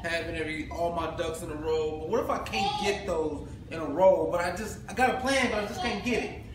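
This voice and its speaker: A fairly high-voiced man jokes his way through the intro in a relaxed tone. The sound is distant and very echoey, with noise in the background. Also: a little fast. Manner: joking, laid-back banter. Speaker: realtor co-host. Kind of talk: casual promotional chat. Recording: echoey room from far off, noisy.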